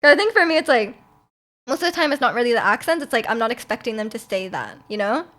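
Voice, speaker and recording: A woman's voice: a little high, lively intonation, fast talker, fairly bright tone. A young woman streamer chatting casually with her viewers, laughing often and restarting her sentences. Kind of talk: casual chat reading viewer comments.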